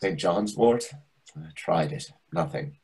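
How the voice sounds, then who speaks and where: Waiter for a play reading: needy complainer, husky, highly animated delivery. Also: male voice, takes it slowly, exaggerated voice. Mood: complaining. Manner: confessional, complaining. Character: self-pitying, needy, chatty male waiter stuck in depression. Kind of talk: readers theatre over video call. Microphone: video call.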